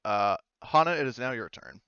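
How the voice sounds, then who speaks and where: A man's voice: mid-range voice, lively intonation, moderate pace, pushed and bright, clear, resonant tone, no room sound, highs cut off. A man acting as game master of a tabletop roleplaying game, casually thinking aloud as he talks through rules and turns.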